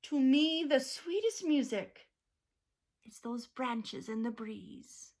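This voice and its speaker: A woman voicing a gentle wise little cricket. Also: high-pitched, lively intonation, slow pace, full character voice. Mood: kindly. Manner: gentle, soothing, encouraging.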